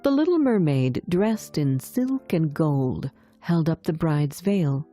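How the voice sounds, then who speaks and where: Lively, mellow woman storyteller. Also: sits low, slow pace, faint music behind. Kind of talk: scripted fairy tale narration for language learners.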